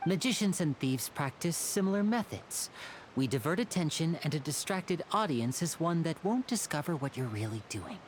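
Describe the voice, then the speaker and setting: A man voicing a youthful stage magician in a video game, polite, smooth and self-assured, with music behind him. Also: a little high, animated, moderate pace, a little hiss or hum, close to an everyday voice. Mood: sly, alert. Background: prominent music.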